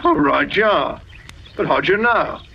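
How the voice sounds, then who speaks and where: A man's voice: a little high, lively intonation, takes it slowly, gravelly, exaggerated voice. A man playing a chatty, gossipy countryman in an old radio drama, telling things in rambling colloquial talk. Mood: gossipy, puzzled. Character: chatty, folksy countryman witness, amused and gossipy. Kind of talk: 1940s radio drama acting. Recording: dull, slightly noisy old radio recording.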